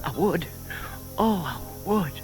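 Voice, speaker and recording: Shy, high-pitched lovelorn gentleman. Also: animated, slow, music in the background. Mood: lovelorn, yearning. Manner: hesitant, earnest, murmured asides. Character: shy, lovelorn older bachelor, gentle and earnest. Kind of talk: audio drama acting.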